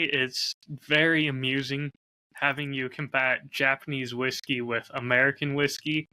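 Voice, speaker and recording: A man chatting casually as a podcast co-host in a low-key, measured, slightly hedging way. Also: pushed and bright, clean tone, low-quality recording. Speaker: podcast co-host and audio drama creator.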